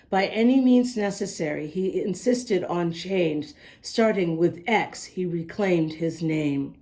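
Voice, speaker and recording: A woman's voice: on the low side, warm tone. A woman reading a rhyming picture book aloud as host, speaking directly to young listeners. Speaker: children's book reader and host.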